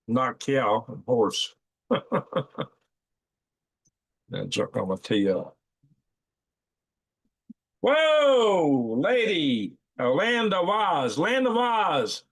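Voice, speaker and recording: Hoarse man, rambling folksy livestream host, answers chat names. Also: on the high side, huge pitch swings, drawn-out words, long pauses. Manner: rambling, folksy, with frequent fillers and restarts; reads chat names aloud and answers viewers. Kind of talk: casual live chat reading viewer comments.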